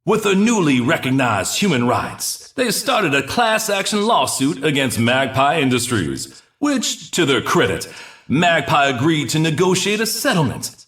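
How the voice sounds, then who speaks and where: A man playing an excitable, dramatic sports commentator giving play-by-play in a cartoon. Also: mid-range voice. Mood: wry wrap-up.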